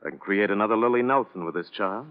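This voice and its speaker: Casting: male actor for self-assured, slippery stage mentor in old radio play; animated lowish voice, slightly muffled period sound. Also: quick pace, lightly acted. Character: smooth-talking, defensive male theater mentor, self-assured, shady. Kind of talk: classic radio drama acting. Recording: noisy, dull vintage radio recording.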